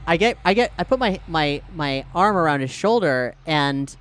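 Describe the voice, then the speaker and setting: A woman playing a tabletop role-playing game, improvising in a playful, halting, casual way. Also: deep voice, lively intonation. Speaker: tabletop role-play player.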